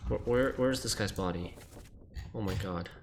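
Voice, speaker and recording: A streamer giving live gameplay commentary, thinking aloud in an animated, self-deprecating way. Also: sits low, normal speed, noisy background.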